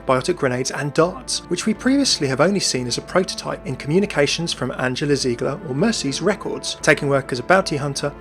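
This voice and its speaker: Man, slightly high voice, brisk scripted narration, game lore video, faint music bed. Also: a bit bright.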